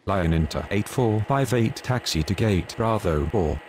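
A man plays an airport radio operator in a simulator game with a very deep voice. He is businesslike and clipped, delivering procedural calls over faint background noise. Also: animated, a little slow, a little mellow. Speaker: pilot and air traffic controller radio voice. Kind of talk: scripted aviation radio phraseology.

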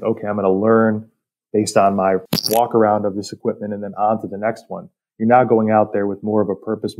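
Mellow, low-voiced man explaining technical work. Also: poor audio. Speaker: technical director, podcast guest. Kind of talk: explanatory interview talk about industry.

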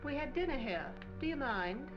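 An actress playing a cool, defiant gangster's moll, curt and unafraid, in 1940s crime film style. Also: female voice, high voice, expressive, normal speed. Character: hard-boiled, defiant gangster's moll wanting out. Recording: dull old film soundtrack, music behind.

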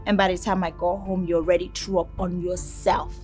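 A woman's voice: lowish voice, level tone, a little fast, a little mellow, faint music behind, close to an everyday voice. A woman acting as a possessive, brazen young friend in a screen drama.

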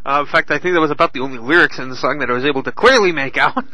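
Guy hosting talk radio, really bright punchy voice, kinda high, just chatting. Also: rapid-fire, no echo. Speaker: radio host. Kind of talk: live talk radio chat.

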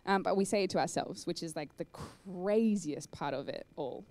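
Young female speaker, low gravelly mellow voice, chatty with lots of "like", handheld mic in a cinema hall. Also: moderate pace. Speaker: conference speaker. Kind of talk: live talk and Q&A. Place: large dark cinema hall on stage.

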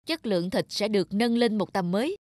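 A woman's voice: medium pitch, lively intonation, a little fast, a little mellow, no room sound, clean studio sound, close to an everyday voice. A woman playing an earnest, determined young male fish farmer in a cartoon. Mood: confident instructing. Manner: earnest, resolute. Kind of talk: cartoon dub acting.

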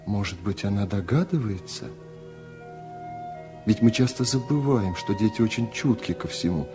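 A man reading a literary story aloud for radio, in a measured, reflective and restrained way. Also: on the low side, animated, over music. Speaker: narrator.

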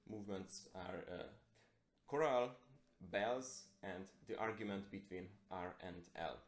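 A young man from Hungary with a Hungarian accent, a guitarist and composer introducing a concert in hesitant, halting phrases. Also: low voice. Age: young adult. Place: plain indoor room. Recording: echoey small room.

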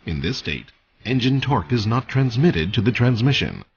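Lively-pitched male technical narrator. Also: moderate pace, highs cut off, slight background noise. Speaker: narrator of a technical training video. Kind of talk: scripted instructional narration.

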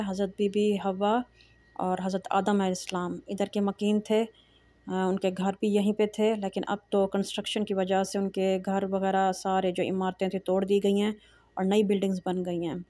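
A middle-aged woman speaks in a calm, low-key voice, keeping her pitch level and even as she narrates a shopping vlog. Her tone is mellow and dark rather than bright, and she pauses often with small hesitations. The sound is close and dry, recorded on a handheld phone. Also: no room sound. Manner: calm, soft-spoken, frequent hesitations and pious phrases. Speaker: vlogger. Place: large indoor shopping mall. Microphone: phone camera held while walking.

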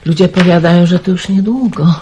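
A woman's voice: on the low side, brisk, lo-fi sound, noisy background, close to an everyday voice. A woman playing a weary, kindly village aunt. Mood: gossiping. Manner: sighing, resigned, kindly. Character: weary middle-aged aunt in wartime countryside. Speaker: aunt raising her niece. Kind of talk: radio drama acting.

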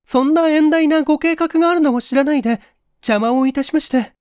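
A man voicing a polite, modest young woman with soft feminine speech in a dramatic reading. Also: very high voice, brisk, no echo, clean studio sound, voice pushed up. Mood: apologetic.

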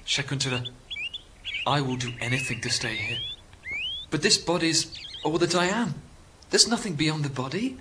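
An actor playing a bewildered, lovestruck stranded astronaut in a radio drama, speaking in a hushed, halting, wondering way. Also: male voice, a little high, lively intonation, background noise, close to an everyday voice. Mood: uncertain, devoted.

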